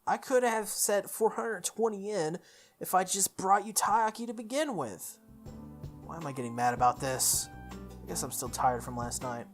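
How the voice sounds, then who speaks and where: A woman reading a visual novel aloud in first person, with expressive delivery, occasional stumbles and her own asides. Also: medium pitch, moderate pace, soft background music. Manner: expressive, self-correcting reading.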